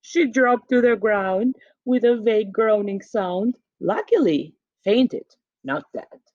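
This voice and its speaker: A woman reading a children's picture book aloud expressively, with dramatic pauses and emphasis. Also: fairly high pitch, expressive, darkish tone, no room sound. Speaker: storybook reader. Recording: dull, muffled home recording.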